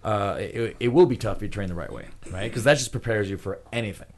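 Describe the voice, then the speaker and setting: A man's voice: lowish voice, quick pace. A man in his thirties from Puerto Rico, a guest talking casually in an interview and laughing often. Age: 30s. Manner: relaxed, chatty, laughs often. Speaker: guest, pro wrestler and trainer.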